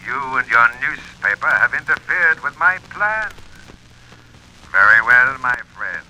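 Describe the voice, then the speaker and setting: An actor playing a menacing masked master villain in an old radio serial, slow, deliberate and mock-courteous. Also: male voice, medium pitch, expressive, sharp tone, muffled recording. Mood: cold threat. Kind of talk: 1940s radio serial acting. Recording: old radio record, faint surface noise.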